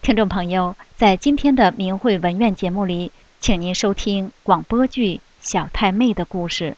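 A woman's voice: mid-range voice, moderate pace, darkish tone, highs cut off. A woman reading a formal, scripted introduction as the announcer of a radio program. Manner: smooth, formal.

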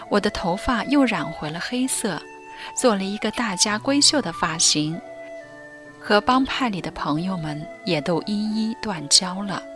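A young woman narrating a radio drama in first person, soft and confessional, often near a whisper. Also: mid-range voice. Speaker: narrator and lead. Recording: dry studio voice with faint music.

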